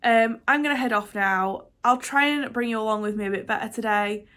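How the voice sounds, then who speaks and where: A woman's voice: a little high, a little fast, a bit bright. A young woman talks casually to her camera, stuffed up with a cold. She rambles and restarts, dropping frequent ums as she catches up on her reading and her busy week. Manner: rambling, chatty, self-deprecating, with many "um"s and restarts. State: congested with a cold. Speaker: book vlogger. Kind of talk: casual chatty vlog to camera.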